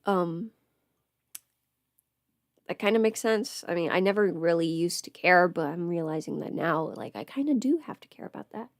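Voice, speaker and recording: A woman's voice: lowish voice, animated, moderate pace, no room sound, studio quality. A woman who is sick, chatting casually on a livestream as host, thinking aloud and interrupting herself. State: sick at home with covid. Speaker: livestream host, audio creator. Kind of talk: casual rambling livestream chat. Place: at home.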